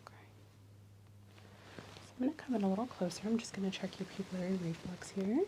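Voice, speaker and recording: Soft-spoken, mellow woman doing clinical ASMR. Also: medium pitch, quick pace, a little hiss or hum, low-quality recording. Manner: soft, explanatory clinical talk, often dropping to a whisper. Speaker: examiner in a medical exam role-play.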